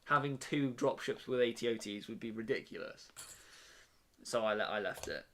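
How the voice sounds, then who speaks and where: A man's voice: mid-range voice, moderate pace, bright, forceful tone. A young man chatting casually on a live stream from his bedroom while he builds, rambling with lots of fillers and restarts.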